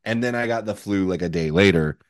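A man with a hoarse, worn voice, co-hosting a casual sports podcast and needling his friend. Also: low voice, a little fast, dry recording, clean studio sound. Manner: teasing, needling his co-host. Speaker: podcast co-host.

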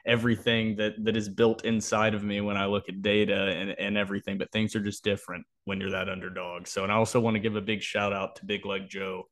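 A man's voice: on the low side, level tone, quick pace, few pauses, fairly bright tone. A man co-hosting a sports podcast, talking through analysis and predictions in a casual, explanatory way.

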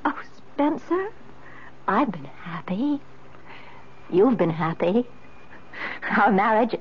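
An actress playing a wronged, genteel wife in a 1970s radio drama, speaking with formal, pointed reproach and dramatic pleading. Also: female voice, medium pitch, breathy edge. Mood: wounded pleading. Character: Margaret, wronged, genteel wife, wounded and demanding. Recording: muffled vintage radio broadcast.

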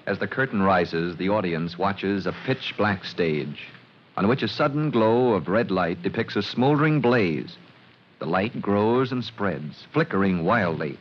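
A man's voice: low voice, animated, a little slow. A man announcing and narrating an old-time radio drama in a theatrical, declamatory style. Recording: muffled, hissy old radio broadcast.

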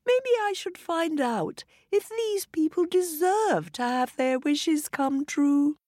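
A bright, sing-song female fairy from a storybook, pitched very high and swooping with life, as a woman reading aloud puts her on. She talks slowly and kindly, in a dry, close sound. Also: animated, no room sound. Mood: grand, kindly.

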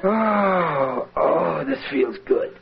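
High-pitched hapless radio-comedy husband. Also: male voice, animated, creaky, over a noisy crowd, heavily acted. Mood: weary relief. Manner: halting, stammering, plaintive. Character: hapless, blustering working-class husband, easily downhearted. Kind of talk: 1940s radio comedy acting. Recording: noisy muffled vintage radio recording.

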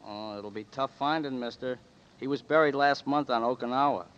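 An actor playing a gruff male elevator operator who talks bluntly and in slang, in a 1940s radio play. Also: mid-range voice, normal speed, muffled recording, very noisy, natural voice.

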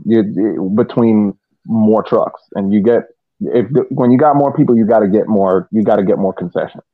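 A man's voice: lowish voice, moderate pace. A man talking casually on a sports podcast. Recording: tinny, phone-like band-limited sound.

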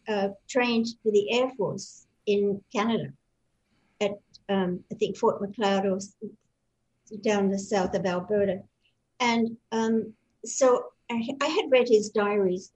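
An older Australian woman with a slightly high voice reminisces as an interview guest, wandering through her stories with fillers and restarts. Her voice comes over dull, lo-fi online call audio. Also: darkish tone. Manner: rambling storyteller with many fillers and restarts.

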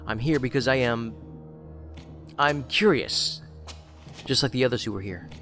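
A federal special agent in an audio drama. He sounds measured and dry, choosing words deliberately as he probes, at an ordinary male pitch. Faint music runs behind him. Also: bright, forceful tone. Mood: dry, guarded.

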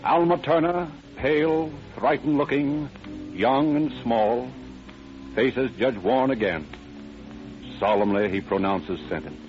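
A man's voice: mid-range voice, unhurried, muffled recording. Man, American accent, 1930s radio announcer, dramatic narration, polished, dulled old recording, light music behind.

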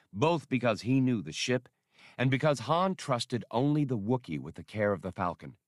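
A man's voice: fairly low pitch, dry recording, studio quality. A man reading scripted narration from a science fiction adventure novel as an audiobook narrator.

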